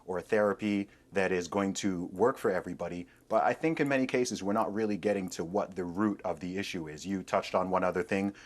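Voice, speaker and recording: A man appearing as a guest on a TV debate show, giving measured commentary that builds its points as a list. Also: low-pitched. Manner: steady, builds points in lists.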